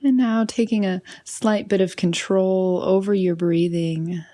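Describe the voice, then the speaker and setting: A woman leading a guided meditation in a soothing, unhurried manner, often trailing off into breathy softness. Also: mid-range voice, fairly even intonation.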